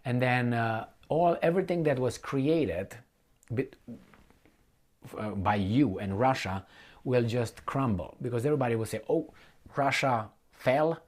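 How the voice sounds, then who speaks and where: A man giving an unscripted, opinionated political commentary, speaking bluntly and colloquially, with halting repetition and rhetorical questions to the listener. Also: mid-range voice, expressive, darkish tone. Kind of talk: unscripted opinionated monologue to viewers.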